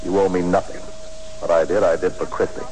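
Actor as fast-talking, low-voiced cold-blooded radio villain. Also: male voice, little pitch movement, darkish tone, natural voice. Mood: cool, blunt. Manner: terse, matter-of-fact menace. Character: smooth, cold-blooded murderous nightclub owner with a gun. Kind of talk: 1940s radio acting. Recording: noisy, humming 1940s radio recording.

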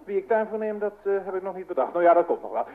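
An actor playing an excitable, bashful young son in a radio play, hesitant, flustered and self-important. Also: male voice, high voice, racing. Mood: eager, self-important. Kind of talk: Dutch radio play acting. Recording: tinny band-limited old radio recording, noisy.

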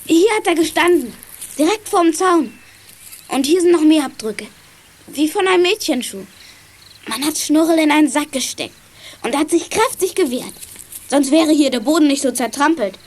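A woman playing a confident, brisk young kid detective in a children's audio drama. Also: sits high, expressive, slight background noise. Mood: focused. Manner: brisk, matter-of-fact, assured. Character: confident young detective kid, eager tracker, chief sleuth.

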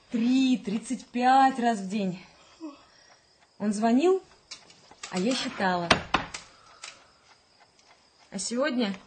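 An actress playing a wistful, romantic young pregnant woman in a radio play, chatty and slightly plaintive, with a slightly husky voice. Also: fairly high pitch, a little mellow, a little hiss or hum. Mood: fretful. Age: young adult.

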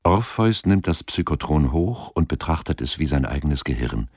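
A man's voice: a bass voice, very animated, normal speed, a little mellow, slight background noise, full character voice. A man narrating a radio drama as a sober, detached storyteller, reading from a script.

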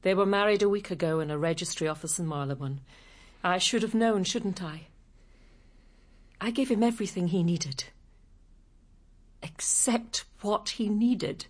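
A woman's voice: mid-range voice, normal speed, pauses often, lightly acted. An actress in a radio drama playing a frank, wounded woman who sings opera and is in love. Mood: bitter, resigned.

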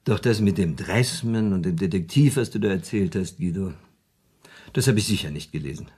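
An actor in a German radio play voicing a shrewd, haggling man, persuasive and deliberate, with a slightly husky voice. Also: sits low, animated, a little mellow. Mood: doubtful.

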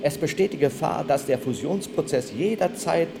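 A man's voice: a little high, expressive, normal speed, creaky. A man acting in a German radio play as a proud, indignant inventor-engineer with an emphatic, accusatory delivery. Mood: stern, defiant. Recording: noisy archival radio drama recording.

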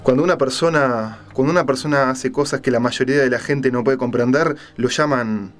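A man performs a captive journalist in a dramatized story. The voice is medium in pitch, fearful and careful, pleading to stay on good terms. Music runs behind it. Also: fairly quick, close to an everyday voice. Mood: anxious, placating. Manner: hesitant, placating, careful. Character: frightened captive male journalist, placating and pleading. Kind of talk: dramatized audiobook reading.

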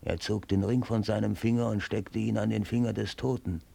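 An actor playing a sly one-eyed servant reporting to his raja, speaking in a measured, ceremonious storytelling manner in a radio drama. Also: fairly low pitch, fairly even intonation, dark tone, dry recording, full character voice. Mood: grave, conspiratorial. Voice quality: gravelly, husky. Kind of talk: solemn German radio drama acting.

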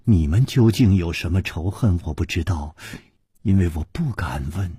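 Man voicing a soft, low, sighing young woman. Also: animated, moderate pace, husky, dry recording, clean studio sound, exaggerated voice. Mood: anxious.

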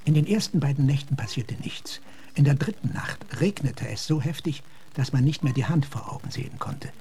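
An actor playing an earnest, philosophical gentleman officer in a German radio drama, speaking in a measured, reflective way. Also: medium pitch, lively intonation, a little hiss or hum, natural voice. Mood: somber. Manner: measured, reflective, sometimes hushed.

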